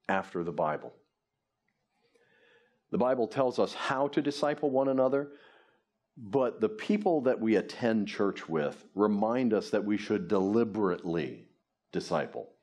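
A man's voice: on the low side, a little mellow. A man in his fifties, a pastor preaching earnestly from a lectern on a church stage. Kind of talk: sermon. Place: church stage before a congregation.

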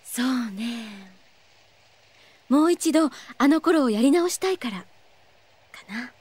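Gentle, high-voiced young teacher. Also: female voice, expressive, takes it slowly, breathy edge, no room sound, full character voice. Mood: wistful. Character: gentle, wistful young female student teacher. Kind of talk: Japanese audio drama acting.

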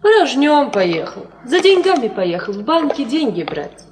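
A woman's voice: high voice, big pitch swings, moderate pace, darkish tone. An actress playing a plain-spoken, simple peasant woman in a 1950s Soviet radio play. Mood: matter-of-fact.

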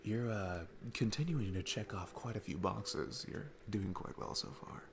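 A man playing a sweet, chatty dad on a date in an ASMR roleplay, rambling enthusiastically with halting restarts. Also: lowish voice, expressive, noisy background. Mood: playful, affectionate. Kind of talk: ASMR audio roleplay, casual rambling talk.

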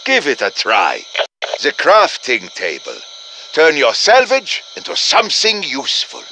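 A man's voice: a little high, huge pitch swings, unhurried, noisy background, heavily acted. An actor playing an earnest professor with a German accent, urging on his fighters in dramatic, courtly video game lines. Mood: admiring. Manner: dramatic, urgent, courtly encouragement. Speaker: game character voice.

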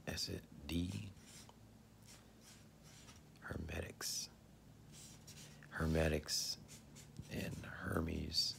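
Hoarse, very deep, gravelly man. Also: steady tone, extremely slow, long pauses. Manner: often drops to a husky whisper, clears his throat. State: hoarse, losing his voice. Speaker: vlogger sharing religious theories. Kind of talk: casual home video monologue. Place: living room at home, indoors. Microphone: phone camera at arm's length.

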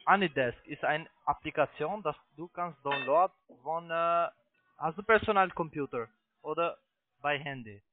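A man with a foreign accent, not a native speaker, making a halting sales pitch over the phone in broken sentences with repeated restarts. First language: not German. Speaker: phone sales agent, investment scam caller. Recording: tinny narrow phone line.

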